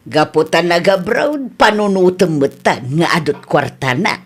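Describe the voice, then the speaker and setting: A woman's voice: on the low side, expressive, measured pace, breathy edge, heavily acted. A woman with an Ilocano Filipino accent acting a plain-spoken woman who pleads and reproves in a radio comedy drama. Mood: reproving.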